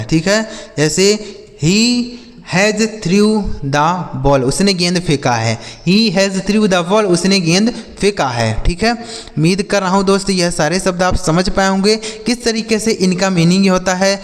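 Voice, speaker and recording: A man's voice: high voice, big-room sound. A man teaching English vocabulary, spelling each word letter by letter and repeating its meaning several times. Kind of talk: slow spelled-out vocabulary lesson.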